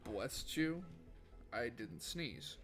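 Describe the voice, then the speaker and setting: A man casually chatting to viewers as he plays a game on a livestream. His voice is bright and forceful, his talk loose and rambling, and he swears freely. Quiet music plays underneath. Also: medium pitch, lively intonation, very slow. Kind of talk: casual gaming livestream chat. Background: faint background music.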